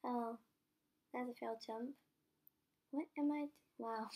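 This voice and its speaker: Young woman w a cold, croaky and husky, high voice, chatty self-mocking gameplay talk into a desk mic. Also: fairly even intonation, measured pace, dark tone. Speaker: gaming channel host. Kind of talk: casual game commentary.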